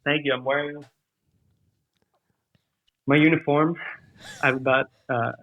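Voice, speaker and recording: A young man calling in by video to a podcast, joking in a deadpan, dry way. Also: fairly high pitch, unhurried. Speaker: guest on a call. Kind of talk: casual chat. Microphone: video call. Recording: dull lo-fi call audio.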